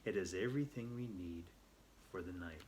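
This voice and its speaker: A man's voice: low voice, moderate pace, a little mellow, slight background noise, voice pushed down, close to an everyday voice. A man voicing a confident, knowing male desert guide in a children's storybook read aloud. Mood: explaining.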